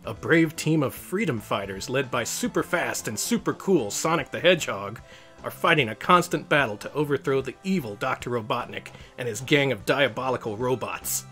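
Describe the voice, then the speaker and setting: Animated man narrating a storybook. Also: mid-range voice, moderate pace, faint music behind. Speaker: host reading a picture book.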